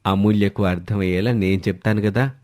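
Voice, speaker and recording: Man acting low-voiced placating young father. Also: steady tone, fairly quick, darkish tone, no echo, studio quality, close to an everyday voice. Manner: reassuring, persuasive.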